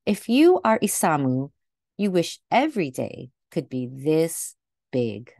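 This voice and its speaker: Woman reading a kids book out loud, lowish voice, gentle careful phrasing, really close dry sound. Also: big pitch swings, takes it slowly, vocal fry, no room sound. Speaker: picture book reader. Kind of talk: read-aloud storytelling for children.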